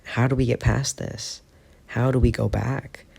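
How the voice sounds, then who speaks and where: A man voicing an angry, hurt teenage boy who argues loudly and pleads with a gravelly voice. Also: medium pitch, steady tone, unhurried, full character voice. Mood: anguished. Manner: loud, pleading, heated.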